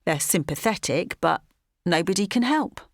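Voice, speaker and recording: A woman playing a determined, organised small-town campaigner in an audio drama, with an articulate, measured delivery. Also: on the low side, animated. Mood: earnest, frustrated. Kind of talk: naturalistic audio drama, dialogue and narration.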